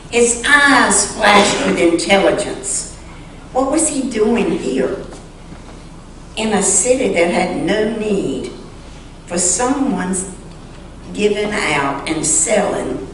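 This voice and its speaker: A woman reading a story aloud in a dramatic, deliberate way, pausing expressively between short phrases. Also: lowish voice. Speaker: church member reading a story to the congregation. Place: large church sanctuary. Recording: echoey church sanctuary, distant mic, faint noise.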